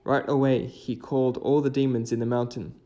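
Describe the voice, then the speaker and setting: A man's voice: mid-range voice, little pitch movement, fairly quick, warm tone. A man speaking as a hiking vlogger, moving between casual trail commentary and folktale narration.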